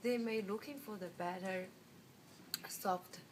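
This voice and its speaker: A young woman from China with a Chinese accent, giving a class seminar presentation in a halting way with frequent restarts. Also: medium pitch. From Guiyang, Guizhou, China. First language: Mandarin Chinese. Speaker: student presenter. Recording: distant mic with room noise.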